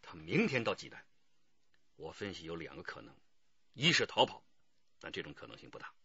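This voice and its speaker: Forceful, husky detective chief. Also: male voice, low voice, big pitch swings, brisk, no echo, muffled recording, full character voice. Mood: focused, urgent. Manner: authoritative, decisive. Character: decisive, commanding male police detective chief. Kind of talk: radio drama acting.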